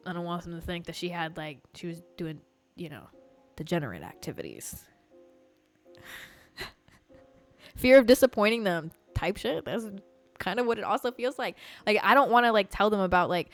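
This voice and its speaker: A woman's voice: lowish voice, smooth. Chatty young woman telling stories, unfiltered. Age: 20s. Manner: candid, loose and profane, heavy use of "like". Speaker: podcast host. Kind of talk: casual storytelling monologue.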